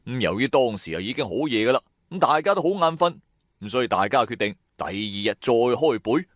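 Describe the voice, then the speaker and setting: A man's voice: medium pitch, sing-song, a little fast, no echo, studio quality. A man with a Cantonese accent narrating a dramatised first-person story in a theatrical, colloquial way. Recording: background music under the voice.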